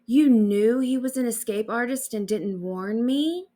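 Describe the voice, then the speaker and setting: A woman's voice: a little high, unhurried, mellow, lightly acted. A woman storyteller voicing an exasperated, wry lizard-sitter who scolds dramatically in a comic story. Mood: indignant.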